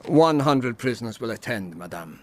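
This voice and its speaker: A man's voice: medium pitch, lively intonation, moderate pace, natural voice. Guy acting strict officer, formal n clipped, voice jumps up and down, radio drama. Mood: formal, deferential. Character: stern, formal German army lieutenant, male.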